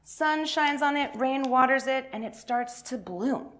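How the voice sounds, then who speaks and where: A woman's voice: high-pitched, a little slow, fairly bright tone. A woman giving an interactive talk for all ages at church, informal and encouraging, prompting listeners with questions. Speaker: church faith and justice coordinator.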